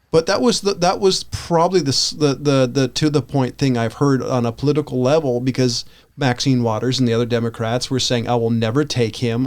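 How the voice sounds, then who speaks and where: A man co-hosting a podcast, talking through his opinions casually in a halting, rambling way with frequent restarts. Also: medium pitch. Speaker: podcast co-host.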